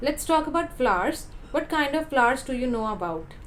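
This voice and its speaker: A woman with an Indian accent leads a mock speaking exam and asks formal, measured questions at a quick clip. Her voice sits fairly high. Steady background noise runs under the recording. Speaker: IELTS speaking examiner and teacher. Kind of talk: mock exam interview, mixing Punjabi and English in the intro. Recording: noisy background ambience.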